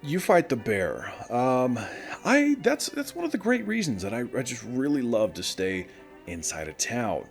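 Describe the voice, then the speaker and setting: A man plays a folksy morning radio host broadcasting from a small town in the wasteland. He chats straight to his listeners with a lively, swooping delivery, over faint music. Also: medium pitch, measured pace, vocal fry. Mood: wry, flustered. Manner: conversational, addresses listeners directly. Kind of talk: scripted radio show monologue, folksy.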